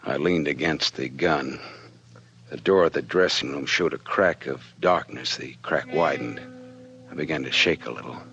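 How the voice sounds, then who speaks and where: 1940s radio private eye, really deep voice, clipped wisecracking noir talk, muffled old recording. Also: male voice, normal speed, fairly bright tone, soft background music. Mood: tense, wry. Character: hard-boiled male private detective, wry and unflappable. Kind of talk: 1940s radio noir acting and first-person narration.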